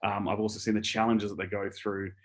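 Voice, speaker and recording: A man with a New Zealand accent speaks in a slightly low voice. He sounds like an executive being interviewed, casual yet earnest and keen. His phrasing is a little hesitant, and he often doubles back on his words. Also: level tone, a little fast. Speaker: business executive, interview guest.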